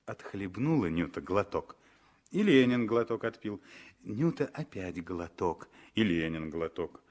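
A man narrating a 1960s radio drama with dramatic, deliberately phrased storytelling. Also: sits low, sing-song, a little mellow.

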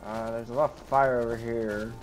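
A man's voice: mid-range voice, fairly even intonation, normal speed, a little hiss or hum, poor audio. A man giving in-character commentary as he plays a fantasy video game. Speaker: gamer roleplaying his character.

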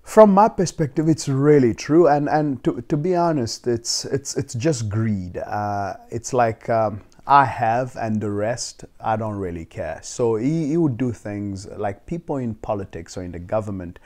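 Twenties male interviewee, warm low timbre, reflective and halting; recorded on a lapel mic in a home. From South Africa. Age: 20s. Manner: thoughtful, with frequent restarts and soft laughs. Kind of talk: casual sit-down interview. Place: living room, seated on leather couch.

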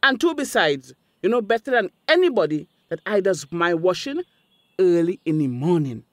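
An actress playing a nosy, indignant older village auntie in a radio drama, scolding in Creole with a Caribbean accent. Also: female voice, lowish voice, animated, normal speed, no echo, lightly acted.

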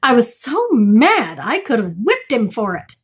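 Lady reading a put-out aunt in a storybook, high voice, emphatic and aggrieved. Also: wildly swooping pitch, unhurried, breathy edge, full character voice. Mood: indignant. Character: indignant farm aunt, scolding and aggrieved.

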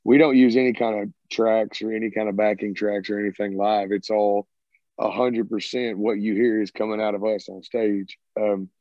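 A man in his thirties with a Southern drawl, answering questions in a relaxed, rambling way and dropping "man" often. His voice sits a little low. The sound is dry and dull, like audio from a video call. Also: normal speed, dry recording. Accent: Southern American. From American South. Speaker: rock band singer and guitarist. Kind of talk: casual interview answers. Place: home, by a sided wall. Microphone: video call, earbuds.

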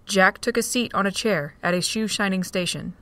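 A woman narrating a fan audio drama with a steady storytelling read. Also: medium pitch, normal speed, no echo. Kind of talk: scripted narration.

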